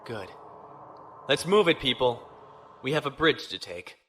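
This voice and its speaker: A man's voice: mid-range voice, animated, measured pace, fairly bright tone, slight background noise. A man acting a stern, decisive young starship captain in a sci-fi audio drama. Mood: brisk command.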